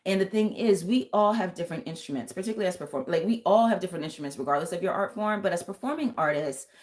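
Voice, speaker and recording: Low, thick-voiced woman reading tarot live. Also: lively intonation, fast talker, a little mellow. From United States. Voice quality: thick, lower-pitched voice. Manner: earnest, intuitive, speaks straight to viewers. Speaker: tarot reader and vocal coach.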